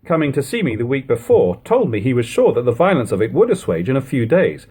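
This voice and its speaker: A man with a husky voice reading a literary text aloud as an audiobook narrator, in a lo-fi home recording. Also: mid-range voice, very animated, fast, mellow. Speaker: audiobook reader.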